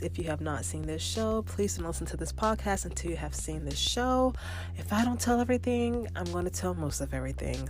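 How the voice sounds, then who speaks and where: A young adult woman hosting a casual solo podcast, chatty and animated, sounding a little congested from allergies. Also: low-pitched. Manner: chatty and animated, with fillers and quoted asides. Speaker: podcast host.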